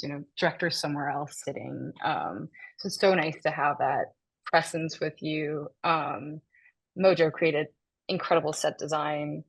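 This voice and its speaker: A woman's voice: fairly low pitch, poor audio. A woman in her thirties, born and raised in Denmark, chatting animatedly as an interview guest, with frequent laughs and restarts. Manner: animated, laughs often, many fillers and restarts. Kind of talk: casual interview chat.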